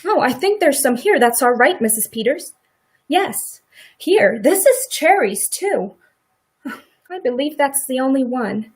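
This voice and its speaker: A woman in a radio play acting a middle-aged farm wife who is sympathetic, quietly indignant and plain-spoken in a folksy rural way. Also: sits high, very animated, unhurried, husky, full character voice. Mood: wistful.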